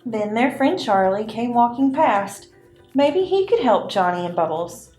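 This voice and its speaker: A woman's voice: a little high, very animated, unhurried, darkish tone. A woman narrating a children's puppet show in a scripted storytelling style. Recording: echoey room with music behind.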